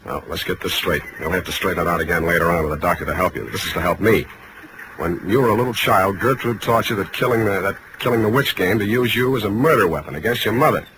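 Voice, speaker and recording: An actor playing a blunt, tough, wisecracking private detective in terse 1940s radio drama style, on a noisy old recording. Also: male voice, deep voice, fast, natural voice. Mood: firm, probing. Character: hard-boiled, blunt private detective, a grown man.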